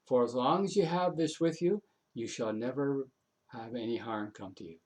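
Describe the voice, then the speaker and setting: A man's voice: mid-range voice, moderate pace, a little mellow, close to an everyday voice. A protective male rescuer from a folk tale, acted out by a man telling the story. He speaks with urgency and command, repeating his instructions. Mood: urgent, protective.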